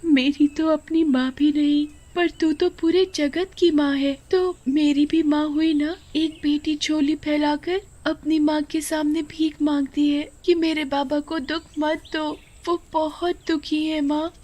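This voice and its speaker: A tender, devoted little girl of ten in a moral story drama, put on by a woman. Her voice is pitched very high and has a pleading, earnest tone. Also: level tone, unhurried, hardly any pauses, warm tone. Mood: tearful pleading. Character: ten-year-old girl, tender, devoted, tearful daughter.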